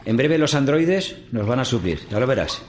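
An actor with a Castilian Spanish accent playing a world-weary middle-aged seaweed farm worker in conversational radio drama. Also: male voice, medium pitch, sing-song, moderate pace, natural voice. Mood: resigned, cynical. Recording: faint background noise and ambience.

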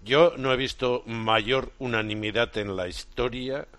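A man's voice: mid-range voice, steady tone, measured pace, fairly bright tone, no room sound. A man on a sports talk panel, outraged and groping for words. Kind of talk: sports talk show debate.

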